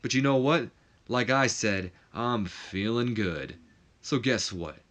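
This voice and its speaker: A man's voice: mid-range voice, lively intonation, takes it slowly, a bit bright. A cocky male wrestling star, played by a man, taunting a rival. The delivery is confident and provoking.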